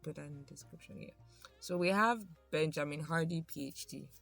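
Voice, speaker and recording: Gravelly, relaxed podcast co-host. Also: medium pitch, a little fast, soft background music. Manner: relaxed, drawls, many ums. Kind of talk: casual chat, reading from an article.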